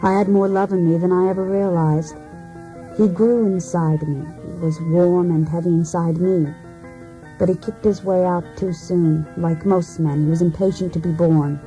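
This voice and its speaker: An actress performs a cool, self-searching wife in a failed marriage. Her voice is fairly low and very mellow, with measured, introspective phrasing suited to a poetic radio play. Music sits faintly behind her. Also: steady tone, natural voice, low-quality recording. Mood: reflective. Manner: measured, introspective, theatrical delivery.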